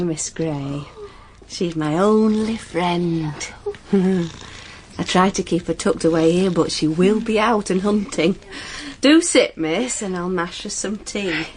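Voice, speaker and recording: A woman's voice: on the low side, slight background noise. An actress playing a humble, kindly, anxious cottager woman with a homely, plaintive manner in a period audio drama.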